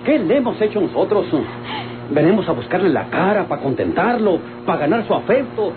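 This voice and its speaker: Through a tinny, noisy old recording, an earnest young rancher with a rural Mexican accent. His high voice pleads in radio-serial style. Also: sing-song, fairly quick. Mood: wounded, tender.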